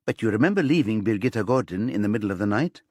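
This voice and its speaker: A man's voice: fairly low pitch, animated, quick pace, darkish tone, dry recording, clean studio sound. A man voicing a female prosecutor in an audiobook, falsely cheerful, insistent and pointed in questioning. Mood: pressing.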